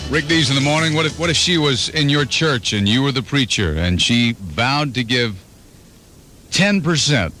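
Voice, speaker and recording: A man hosting a morning radio show, joking and telling stories in quick banter. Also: medium pitch, expressive, bright, forceful tone, soft background music. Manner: storytelling, playful, slips into voices. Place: radio studio. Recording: 1991 FM radio aircheck.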